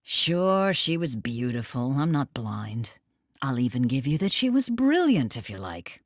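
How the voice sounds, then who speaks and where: An actress playing a worldly, wry and jealous lover in an audio drama, blunt and sardonic in manner. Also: female voice, a bass voice, big pitch swings, unhurried, dry recording, clean studio sound, full character voice. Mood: jealous, scornful.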